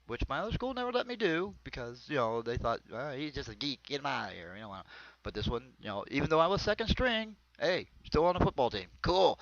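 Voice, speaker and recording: A man's voice: mid-range voice, fairly bright tone, dry recording. An American man performs an autobiographical comedy monologue in a rambling, conversational storytelling manner. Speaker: amateur comedian. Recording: dull, lo-fi close dry recording.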